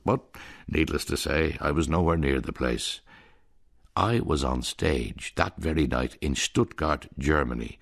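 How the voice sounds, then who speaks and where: Very deep man, wry narration. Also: creaky, no echo, studio quality. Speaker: narrator, a piper. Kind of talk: first-person radio drama narration.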